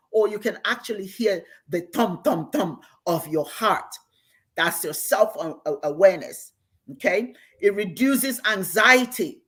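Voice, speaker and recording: A woman's voice: medium pitch, a bit bright, husky. Earnest older woman teaching. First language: Igbo. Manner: earnest, repeats key phrases for emphasis. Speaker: host and spiritual teacher. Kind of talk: livestream teaching on meditation.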